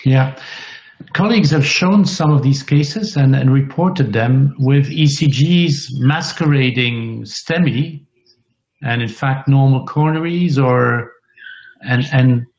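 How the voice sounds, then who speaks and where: An older man interviewing doctors on a video call through a headset, asking measured, halting questions. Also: mid-range voice, darkish tone. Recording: echoey room on a video call.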